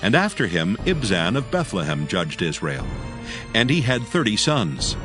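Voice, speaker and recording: A man narrating scripture in archaic English in a solemn, measured manner. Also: lowish voice, sing-song, over music.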